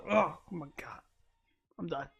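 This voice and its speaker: A man's voice: high-pitched, gravelly, a bit bright, breathy edge. A man hosting a live read-along, playful and improvising as he reacts to the story. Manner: playful, breaks into laughter, improvises. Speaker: reaction video host.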